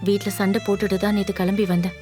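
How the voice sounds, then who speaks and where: A woman's voice: mid-range voice, little pitch movement, racing, a little mellow, soft background music, lightly acted. An actress playing a frank, reproachful young woman secretly in love, speaking directly and challengingly in conversational short-film dialogue. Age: young adult.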